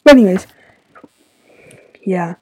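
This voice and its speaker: A teenage girl hosting her own podcast, sounding stuffy and tired, rambling with lots of fillers in a soft, confiding way. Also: mid-range voice, lively intonation, takes it slowly, husky, no room sound, highs cut off. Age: teens. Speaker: podcast host. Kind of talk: casual solo podcast talk.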